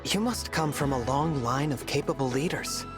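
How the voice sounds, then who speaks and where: A man playing a gentle, earnest young divine hero in a video game, soft-spoken and formal in manner. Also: medium pitch, normal speed, music in the background, natural voice. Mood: thoughtful, sincere. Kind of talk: scripted video game voice acting.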